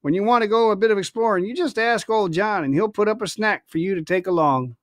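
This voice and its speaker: A crafty one-legged old pirate cook, put on by a male reader, wheedling and folksy in his sailor's talk. The voice rides high and a little louder than the narration, close and dry. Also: quick pace, no room sound, lightly acted. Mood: friendly.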